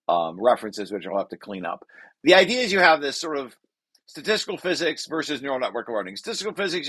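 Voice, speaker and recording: A man's voice: medium pitch, bright, forceful tone, husky, no echo. An older man giving an informal technical lecture, reading through a paper and explaining it, often rephrasing as he goes. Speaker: researcher presenting his paper.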